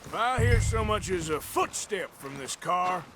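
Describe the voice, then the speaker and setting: A man's voice: high voice, lively intonation, unhurried. An actor playing a tough, blunt American outlaw gunman in a western video game, talking in a terse, plain-spoken way. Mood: threatening. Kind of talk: dramatic video game acting. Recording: noisy game ambience with clicks.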